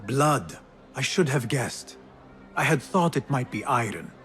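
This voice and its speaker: An actor playing a resolute, guarded assassin in a video game, speaking in measured, serious and formal phrases. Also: male voice, medium pitch, big pitch swings, a bit bright, faint music behind. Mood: polite, guarded. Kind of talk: dramatic game cutscene acting.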